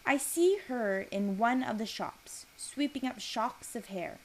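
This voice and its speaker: Woman giving an expressive literary reading. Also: fairly high pitch, slow, slight background noise, low-quality recording. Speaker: reader of a literary piece. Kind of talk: literary reading, first-person narration.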